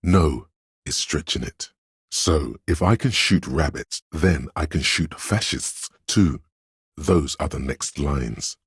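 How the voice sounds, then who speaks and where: A man voicing an encyclopedic inner voice in a video game, learned and solemn, narrating in a measured, erudite way. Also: extremely low pitch, animated, slightly rough, no echo, studio quality, full character voice. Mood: measured, informative. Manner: measured, erudite, declarative delivery.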